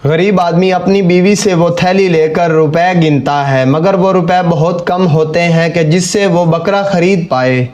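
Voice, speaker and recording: Very high-pitched male story narrator. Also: unhurried, hardly any pauses, a little mellow, highs cut off. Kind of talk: scripted cartoon moral-story narration.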